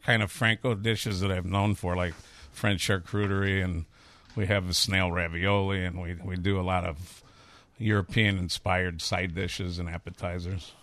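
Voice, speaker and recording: A man's voice: sits low, little pitch movement, moderate pace, a bit bright, no echo. A man in his fifties with a Chicago accent, a chef talking candidly and rambling through stories in a casual interview. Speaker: chef and restaurateur.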